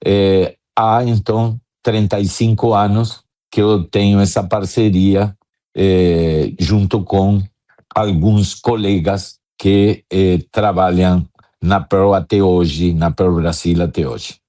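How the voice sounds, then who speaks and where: A man from Argentina with an Argentine accent, speaking Portuguese as a second language, telling his career story slowly with many hesitations in an interview. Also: sits low, fairly even intonation, mellow. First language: Spanish. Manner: slow, many hesitant fillers. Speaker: guest, drum importer.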